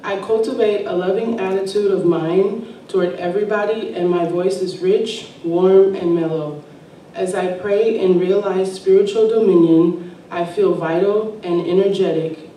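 A woman reading a spiritual text aloud at a church service, slow and measured. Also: fairly low pitch, steady tone, mellow, a little hiss or hum. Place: church sanctuary. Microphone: distant room mic. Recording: echoey sanctuary from far off.

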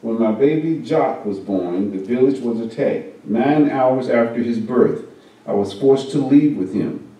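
A man reading a first-person story aloud at a church service, in a measured, unhurried way. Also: medium pitch, mellow. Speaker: service reader. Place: church meeting room. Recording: very echoey hall, mic far off.

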